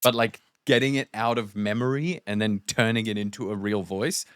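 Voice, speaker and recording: A man's voice: on the low side, steady tone, normal speed, fairly bright tone, clear, resonant tone, no room sound, clean studio sound. A man co-hosting a podcast, chatting casually in a blunt, informal way. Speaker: podcast co-host.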